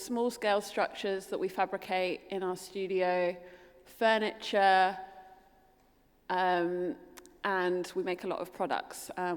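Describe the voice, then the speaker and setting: A woman's voice: medium pitch, clean tone. A woman with a London accent giving a keynote talk as an architect, casual and full of um and kind of. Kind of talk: conference presentation. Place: lecture hall at a forum. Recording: long hall reverb.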